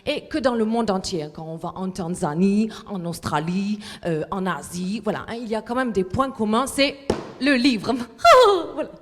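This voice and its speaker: A woman's voice: medium pitch, animated, moderate pace, noisy background, lightly acted. A woman playing a self-important international book observer in a live comic sketch, hesitant and full of fillers. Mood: rambling. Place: large hall at a library event. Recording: echoey hall recording.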